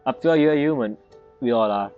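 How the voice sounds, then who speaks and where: A man giving casual, halting let's-play commentary in non-native English phrasing. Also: medium pitch. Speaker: game streamer. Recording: muffled, game music behind.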